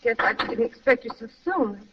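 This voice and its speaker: A woman's voice: fairly high pitch, lively intonation, moderate pace, breathy edge, far from the mic. A woman acting a cold, mocking femme fatale in an old radio crime drama. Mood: coy. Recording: muffled old radio recording, off-mic.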